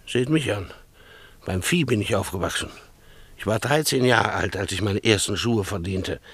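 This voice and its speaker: An actor playing a proud, boastful rich Greek father in a German radio play, emphatic and scornful, with a husky voice. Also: on the low side, normal speed, a bit bright, no echo. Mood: boastful, indignant. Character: proud, self-made rich Greek father, possessive of his daughter.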